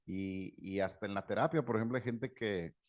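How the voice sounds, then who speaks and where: A man's voice: on the low side, moderate pace. A middle-aged man, a psychotherapist, giving an informal talk to a group, chatty and anecdotal with frequent fillers and laughs. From Mexico. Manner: conversational, anecdotal, laughs often, many fillers.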